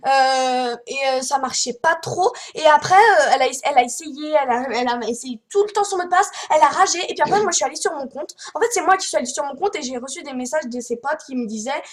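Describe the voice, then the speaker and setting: A young girl with a high-pitched voice talks casually, as if improvising on a prank call. She rambles, hesitates and repeats herself as she tries to explain things. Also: lively intonation, quick pace, few pauses. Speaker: prank caller, video host.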